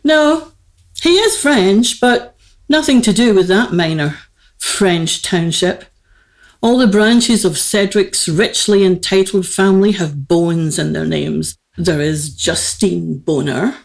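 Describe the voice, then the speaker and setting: Actress as a grand lovesick queen, slow and stately, creaky voice, comic radio drama. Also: fairly low pitch. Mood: haughty. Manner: stately, theatrical, formal diction. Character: aristocratic, lovelorn married queen, grand yet girlish. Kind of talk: comic historical radio play acting.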